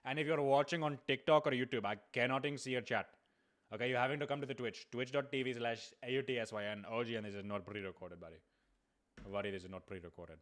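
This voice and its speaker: A young man with an American accent talks to his livestream audience. His delivery is casual and slangy, with swearing mixed in, and his pitch sits in a middle range. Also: steady tone, fast, a bit bright. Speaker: livestream host and prank caller. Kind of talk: livestream chat.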